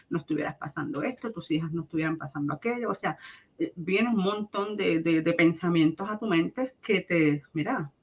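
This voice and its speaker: A woman's voice: fairly low pitch, husky, lo-fi sound. A middle-aged woman with a Puerto Rican accent gives a personal religious testimony, speaking earnestly and directly to listeners with emphatic repetition. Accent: Puerto Rican Spanish. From Puerto Rico.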